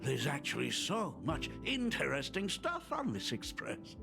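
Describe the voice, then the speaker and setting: A man's voice: on the high side, huge pitch swings, unhurried, gravelly, over music, full character voice. An actor playing an eager, earnest artist and fond father in a video game, hesitant and full of wonder. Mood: curious, admiring. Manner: hesitant, marveling.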